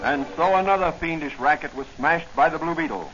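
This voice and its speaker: A man's voice: a little high, fairly quick, loud music behind the voice. A male announcer delivering scripted 1940s radio narration in a dramatic, declamatory way, on a muffled, noisy old recording.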